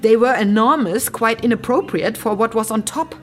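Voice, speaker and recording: A woman's voice: on the high side, normal speed, lightly acted. An actress playing an insistent, teasing woman in a naturalistic German radio drama, slipping into English quotations. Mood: amused, teasing. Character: insistent woman hunting a writer's lost typewriter.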